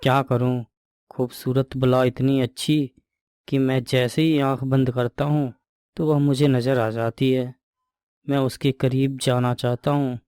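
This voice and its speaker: A man playing a lecherous, menacing young villain in animated moral-story dialogue, leering and brazen. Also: mid-range voice, unhurried, clean tone, no room sound, studio quality, lightly acted. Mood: lovesick.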